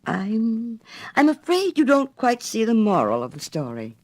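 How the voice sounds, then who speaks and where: A woman's voice: a little high, huge pitch swings, measured pace, darkish tone, no room sound, voice pushed up, heavily acted. Woman voicing a prim little linnet, lilting. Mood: mild reproof. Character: mild, prim storytelling linnet, a small bird.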